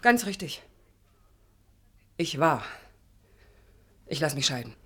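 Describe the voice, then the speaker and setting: An actress playing an educated, fed-up young wife in a radio drama, articulate, earnest and reproachful. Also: female voice, deep voice, big pitch swings, slow pace, a bit bright, husky, full character voice. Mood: cold, scornful. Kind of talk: radio play acting.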